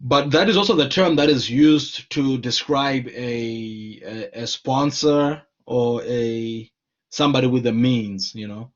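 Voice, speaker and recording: Earnest scholar, slightly muffled. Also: male voice, mid-range voice, slow. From Zimbabwe. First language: Shona. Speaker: scholar and lecturer. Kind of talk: conversational discussion.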